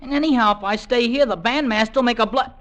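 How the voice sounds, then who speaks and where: A man's voice: extremely high pitch, fast talker, a bit bright, dull audio. An actor playing a cheeky, earnest young British army drummer boy in an old-time radio drama. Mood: eager, pleading. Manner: earnest, cocky, deferential to officers.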